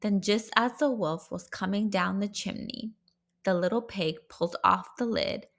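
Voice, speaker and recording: A woman narrating a children's storybook aloud, expressively and with deliberate dramatic emphasis. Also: medium pitch, gravelly, fairly bright tone.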